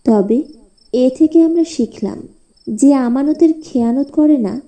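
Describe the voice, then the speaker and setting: A female narrator tells a scripted moral story for a cartoon. Her voice is fairly high yet extremely mellow and rounded in tone. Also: slow pace.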